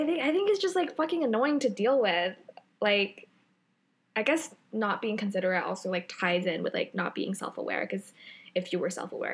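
Young woman cohosting a chatty podcast, loud voice that really carries, lots of energy. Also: medium pitch. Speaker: podcast co-host. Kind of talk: casual chat.

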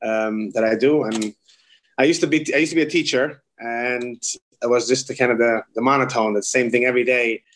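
A man's voice: medium pitch, steady tone, brisk, fairly bright tone, poor audio. Dutch-accented man, rambling and hesitant. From Netherlands. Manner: rambling, repeats words and restarts often. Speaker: kosher supervision rabbi. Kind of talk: casual interview conversation.

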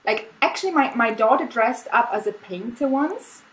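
A woman's voice: fairly high pitch, moderate pace, rough recording. A middle-aged woman from Austria, a native German speaker, chatting casually as an interview guest on a video call, laughing often and restarting her sentences. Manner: relaxed, laughs often, many fillers and restarts. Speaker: guest artist.

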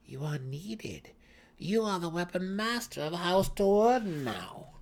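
A man voicing a scheming female dark elf priestess in a fantasy audiobook, commanding and falsely sweet. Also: high-pitched, big pitch swings, slow pace, pitched above the performer's own voice, heavily acted. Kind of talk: dramatic fantasy audiobook character.

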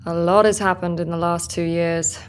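An actress playing a guarded, steady rebel guard with a measured, terse delivery in a sci-fi audio drama. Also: female voice, on the low side, a little hiss or hum, close to an everyday voice.

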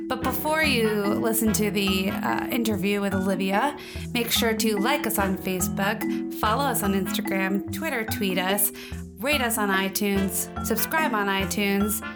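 Woman co-host, mid pitch, chatty, storytelling, faint music bed. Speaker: podcast co-host. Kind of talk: casual podcast chat.

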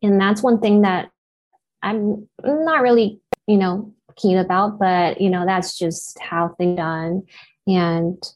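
A woman's voice: mid-range voice, slow pace, darkish tone, lo-fi sound. A woman in her thirties from Japan, with Japanese as her first language, answering interview questions thoughtfully as an actor, with frequent "you know" fillers. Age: 30s. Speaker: actor and guest.

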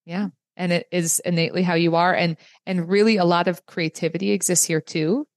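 A woman giving a guided reading in a calm, explanatory manner. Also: fairly low pitch, fairly quick, no echo, clean studio sound. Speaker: human design reader, host.

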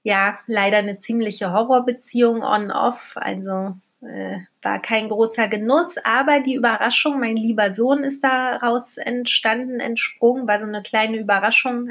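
A woman's voice: fairly high pitch, fairly bright tone. A middle-aged woman from Berlin talking candidly and colloquially, as in a casual personal vlog. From Berlin, Germany. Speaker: vlogger and storyteller.

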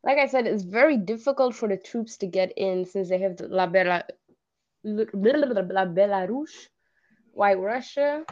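Slow-talking woman in dull lo-fi audio. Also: mid-range voice, a little mellow. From Belgium. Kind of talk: casual chat.

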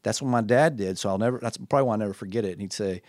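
A man, interviewing his former teacher in a friendly, questioning way with halting restarts. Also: lowish voice, darkish tone, no echo, clean studio sound. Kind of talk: casual interview conversation.

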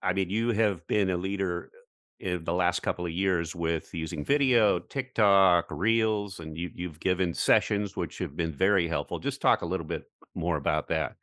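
A man's voice: sits low, moderate pace, fairly bright tone, no room sound, studio quality. A man co-hosting a podcast, introducing and questioning the guest in an upbeat way. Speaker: podcast co-host.

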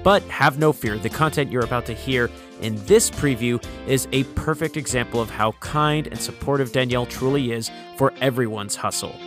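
Male podcast host, scripted VO, steady delivery, guitar bed underneath. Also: mid-range voice, normal speed, few pauses. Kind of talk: scripted narration.

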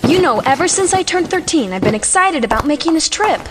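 A woman voicing an excitable, headstrong thirteen-year-old witch girl in an animated film fandub. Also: high voice, fairly quick, very noisy. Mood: eager, insistent. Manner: excitable, bubbly.

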